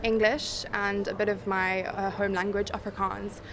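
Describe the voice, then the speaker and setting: A woman's voice: mid-range voice, flat delivery, moderate pace. A cheerful woman from South Africa answering street interview questions. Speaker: passer-by. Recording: outdoor noise.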